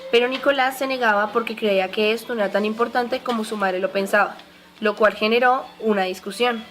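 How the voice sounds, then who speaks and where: A woman's voice: a little high, fairly even intonation, a little fast, fairly bright tone, soft background music. A woman reading scripted narration for a radio drama.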